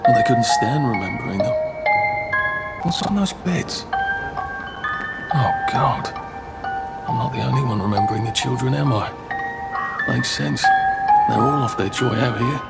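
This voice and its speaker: A man's voice: medium pitch, a little slow, vocal fry, long pauses, over loud music. A man with an English accent playing a polite, timid, wry everyman hero who mutters dry, self-deprecating remarks in a video game. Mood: wry, uneasy. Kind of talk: video game cutscene acting.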